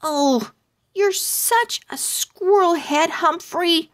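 A woman's voice: extremely high pitch, drawn-out words, pitched above the performer's own voice, exaggerated voice. A woman voicing a shy, earnest young girl owl in a storybook, with a hesitant manner. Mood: annoyed.

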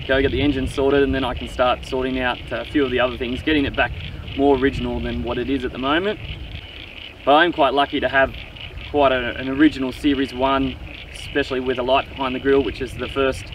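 A middle-aged man giving an unhurried, plain-spoken vlog walkaround, explaining things casually outdoors. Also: medium pitch. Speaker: vlogger, owner of a vintage off-road vehicle. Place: outdoors in open grassy bushland. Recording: windy outdoor noise.

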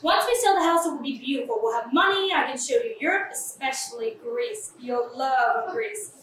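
A woman's voice: high-pitched, moderate pace. A young woman playing a glib, manipulative, callous woman with a morbid streak in a theatrical staged reading. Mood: gleeful, scheming. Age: young adult. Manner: animated, flippant, theatrical. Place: small café, live staged reading. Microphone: far camera. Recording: echoey, distant camera mic, faint noise.

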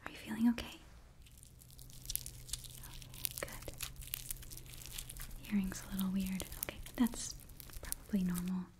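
A young woman performing a whispered ASMR roleplay, speaking softly and coaxingly right up close to the microphone. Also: mid-range voice, fairly even intonation, a little slow, long pauses. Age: young adult. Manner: soft, coaxing, close-up whisper with murmured repetitions. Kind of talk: whispered ASMR roleplay, in character as a sorcery student.

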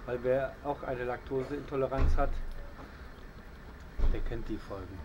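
Guy in his middle years showing his kit outside, slow and umm-y, birds and outdoor noise in the background. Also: mid-range voice. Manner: relaxed, hesitant, many fillers. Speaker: bushcraft video host. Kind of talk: casual show-and-tell. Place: outdoors on a patio by a wall.

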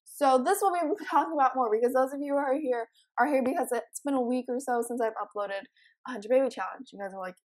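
Teen girl, raspy and a bit high-pitched, rambling and giggly gameplay commentary. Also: fast talker, a little mellow. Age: teens. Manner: chatty, giggly, rambling with many ums. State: morning voice. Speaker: let's play host.

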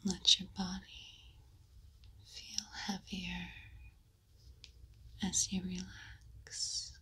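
A woman whispering a guided meditation in a slow, soothing voice, leaving long pauses between phrases. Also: on the low side, little pitch movement, pushed and bright, breathy edge, poor audio. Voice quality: unvoiced whisper.

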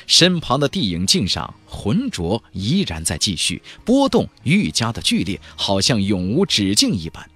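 A man's voice: fairly low pitch, wildly swooping pitch, normal speed, hardly any pauses, fairly bright tone, breathy edge, dry recording. A man narrating a fantasy audiobook in a dramatic, suspenseful, theatrical storytelling style. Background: faint background music.

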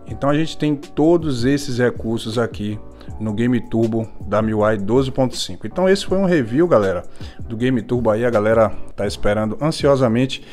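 A Brazilian man talks through a hands-on tech review in a casual, informal way. His voice sits at a medium pitch and he often tags his phrases to check in with viewers. Music plays behind him. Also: normal speed, darkish tone.

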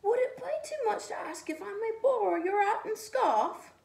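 A woman's voice: very high voice, animated, moderate pace, gravelly, pitched above the performer's own voice, exaggerated voice. A woman voicing a cold, weary stray cat who sighs and asks for help. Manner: sighing, loud and drawn out. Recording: echoey room, faint ambience.

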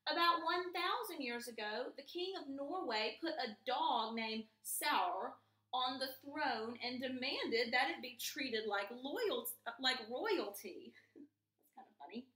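A young woman, an elementary school teacher, reading and talking to young children in a clear, deliberate way in a small room. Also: high-pitched, animated, pushed and bright, reverberant, low-quality recording. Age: young adult. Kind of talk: children's read-aloud and chat to pupils. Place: small plain room indoors. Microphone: camera mic a few feet away.

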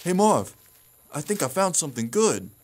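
A man's voice: fairly high pitch, sing-song, loud music behind the voice. A man playing a dim, good-hearted, timid crook's sidekick in an audio drama, with a hesitant, folksy manner. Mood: hesitant, naive. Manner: slow-witted, hesitant, folksy. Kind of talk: audio theater acting.

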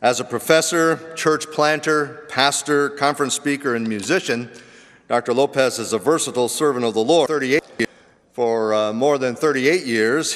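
A man introduces a guest speaker in a measured, formal way. His pitch sits in the middle range for a man. A long reverb fills the large chapel. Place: large seminary chapel auditorium.

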